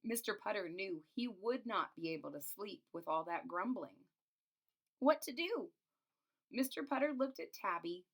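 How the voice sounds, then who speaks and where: Woman reading a children's storybook aloud. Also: medium pitch. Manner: expressive, explains the pictures in simple words. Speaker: teacher reading a picture book aloud.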